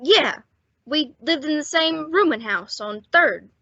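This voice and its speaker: A woman playing a weary, wounded young male fugitive who talks haltingly in an old-time radio drama. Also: high-pitched, lively intonation, sharp tone, no room sound, full character voice. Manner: halting, unsure. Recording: dull, dry lo-fi audio.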